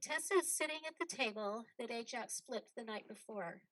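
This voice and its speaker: A woman's voice: fairly high pitch, animated, moderate pace, a bit bright, no room sound, low-quality recording. A woman reading stage directions as the narrator of a scripted play.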